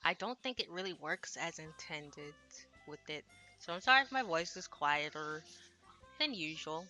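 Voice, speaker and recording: A woman with a very bright, sharp voice set a little low talks casually as a game streamer. She rambles along, putting herself down and apologizing, speaking into a headset over game music. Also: expressive, normal speed. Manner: rambling, self-deprecating, apologetic. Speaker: streamer translating a Japanese visual novel. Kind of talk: casual livestream chat.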